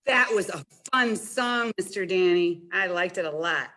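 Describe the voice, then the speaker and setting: A woman's voice: on the low side, expressive, a little slow, bright, forceful tone. A woman hosting a children's storytime, asking simple questions and addressing young listeners directly. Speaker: children's library storytime host.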